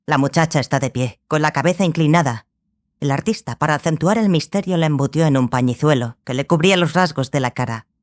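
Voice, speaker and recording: Woman playing a composed, low-key scholarly sorceress mentor. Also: fairly quick, lightly acted. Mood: explanatory. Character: small sorceress, learned lady mentor, composed and exacting. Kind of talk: audiobook drama acting.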